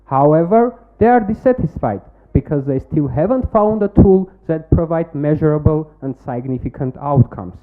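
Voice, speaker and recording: A man with an Armenian accent, a native Armenian speaker, pitching a startup and then answering questions in halting English with many fillers. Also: lively intonation. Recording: tinny phone-like sound.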